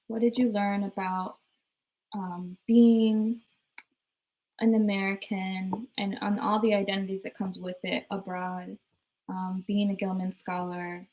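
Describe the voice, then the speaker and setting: Female moderator, medium pitch, encouraging and loose in her phrasing. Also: a little mellow, low-quality recording. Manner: encouraging, filler-heavy. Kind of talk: panel moderation.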